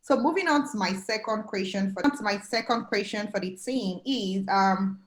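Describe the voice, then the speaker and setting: A woman's voice: medium pitch, normal speed. A young woman hosting a panel interview in a friendly, chatty way, sprinkling in "like.". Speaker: interview host. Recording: roomy echo.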